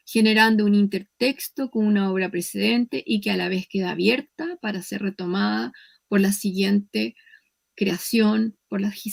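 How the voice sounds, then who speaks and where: A woman with a Chilean accent giving an academic conference lecture in a measured, explanatory way, with hesitant pauses. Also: mid-range voice, gravelly.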